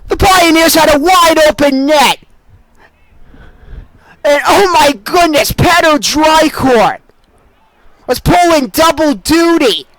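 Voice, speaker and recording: A young man doing excitable live play-by-play sports commentary as a student sportscaster. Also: very high-pitched, slow pace, pauses often, rough recording. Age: young adult. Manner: excitable, bursting into shouts on big plays.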